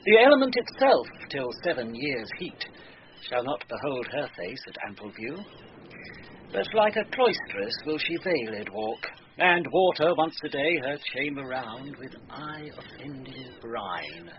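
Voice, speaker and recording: A deferential courtier delivering a message in a Shakespearean radio drama. He declaims formally at a medium pitch with animated rises and falls. The recording is muffled and noisy. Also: a little slow. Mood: formal reporting. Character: deferential male courtier bringing news to his lord.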